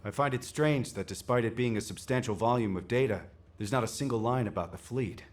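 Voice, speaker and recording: A man's voice: mid-range voice, brisk, natural voice. A man playing a composed, wryly good-humoured military commander in a video game. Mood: measured concern.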